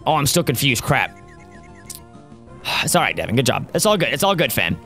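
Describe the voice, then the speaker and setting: Young man, raspy worn voice, excitable game commentary, close studio mic, faint music. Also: mid-range voice, a little fast. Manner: excitable, cheers his team loudly. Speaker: gaming YouTuber. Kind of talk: Let's Play commentary. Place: home gaming setup, indoors. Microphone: studio mic close up, seen.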